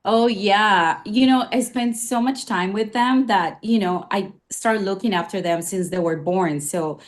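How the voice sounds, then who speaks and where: A woman's voice: medium pitch, a little slow. A woman with a Spanish accent, a former nanny, telling stories in a casual interview with many fillers. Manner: warm storytelling, many fillers.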